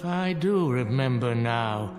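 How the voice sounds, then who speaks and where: An actor playing a dignified, judgmental clergyman in a radio drama, with a formal, measured, reproving delivery. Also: mid-range voice, expressive. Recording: reverberant radio drama with music underneath.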